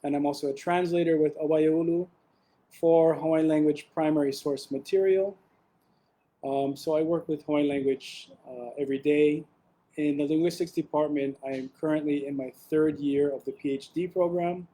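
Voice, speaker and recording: A young man presenting an academic webinar over a video call, talking in a relaxed way with frequent ums and restarts. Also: fairly high pitch, normal speed, rough recording.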